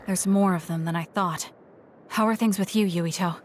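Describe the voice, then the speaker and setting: A woman's voice: fairly low pitch, steady tone, normal speed, background noise, close to an everyday voice. An actress playing a stoic, blunt young woman fighter in a video game, speaking in a matter-of-fact, terse way. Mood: focused. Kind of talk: English video game voice acting.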